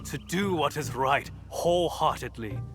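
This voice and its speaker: An actor playing a righteous, steadfast warrior monk mentor in a video game, speaking in a deliberate, formal, philosophical way. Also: male voice, a little high, over music, close to an everyday voice. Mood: calm, instructive. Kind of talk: dramatic video game acting.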